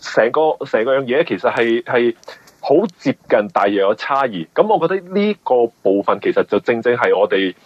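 A man with a Hong Kong Cantonese accent giving conversational interview answers, explaining things haltingly and often repeating words. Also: medium pitch, lively intonation, no echo. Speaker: guest, mystery novelist. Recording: muffled, band-limited radio interview audio.

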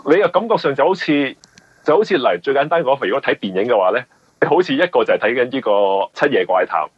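A man with a Hong Kong Cantonese accent, a novelist explaining his work in a conversational interview, often halting and repeating words. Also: mid-range voice, animated, slightly rough, no room sound, highs cut off. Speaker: novelist guest.

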